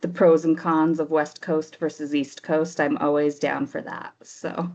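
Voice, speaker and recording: A woman's voice: sits low, flat delivery, a little slow, a little mellow. A woman introducing herself on a video call in an upbeat, friendly way. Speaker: education specialist. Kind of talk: casual introduction. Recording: dull lo-fi audio.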